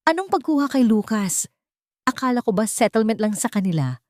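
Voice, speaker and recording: A woman voicing a scheming, furious younger Filipino woman villain who shouts accusations in a storybook drama. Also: fairly high pitch, very animated, normal speed, dry recording, studio quality, pitched above the performer's own voice. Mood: furious accusing.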